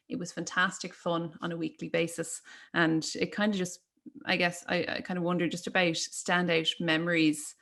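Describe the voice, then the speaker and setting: A woman's voice: lowish voice, fairly bright tone. A woman hosting a conversation, putting questions in a tentative, roundabout, conversational way. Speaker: interviewer, host. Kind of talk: conversational interviewing.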